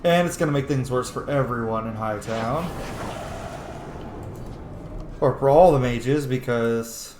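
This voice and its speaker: A man's voice: medium pitch, normal speed, noisy background. A man giving casual, sweary video game commentary, reacting aloud as he plays. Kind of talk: casual let's-play commentary. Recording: roomy echo, game music behind. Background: video game music.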